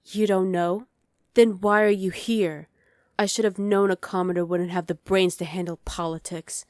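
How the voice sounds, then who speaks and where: A woman's voice: fairly low pitch, fairly even intonation, normal speed, darkish tone, no room sound, studio quality, natural voice. A woman voicing a dominant, haughty evil queen in an ASMR roleplay, imperious, sly and condescending. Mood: scornful, exasperated.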